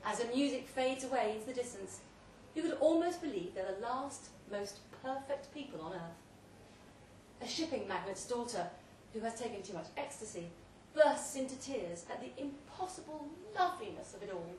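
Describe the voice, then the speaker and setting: A woman's voice: fairly high pitch, expressive, normal speed, a little mellow. Woman doing a dramatic story reading live, bit far from the mic, echoey room, faint noise. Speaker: reader of a short story. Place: pub event room, read aloud live. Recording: roomy, distant live recording with faint noise.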